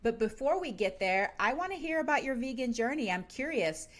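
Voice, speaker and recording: A woman's voice: fairly high pitch, animated, brisk, fairly bright tone. A woman hosting a podcast, introducing her guest and asking questions in a friendly, upbeat way. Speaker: podcast host, doctor.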